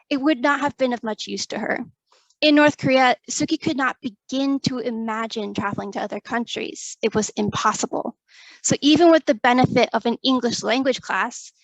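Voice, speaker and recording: A young adult woman, a graduate student, presenting her research in a careful, measured read with a few restarts. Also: a little high, no room sound, rough recording. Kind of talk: reading a prepared research talk.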